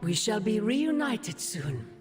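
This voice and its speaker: An ancient demon mother from a fantasy game, regal and ominous with eerie affection. She speaks in stately, formal phrases. Long reverb surrounds the voice, with music clearly behind it. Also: mid-range voice, sing-song, takes it slowly. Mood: eerily affectionate. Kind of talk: dramatic video game acting.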